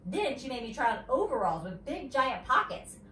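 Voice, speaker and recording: A woman reads a children's story aloud with expression and chatty asides. Her voice is fairly high and animated. It is recorded from a distance in a roomy space with faint noise. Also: normal speed. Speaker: teacher reading aloud.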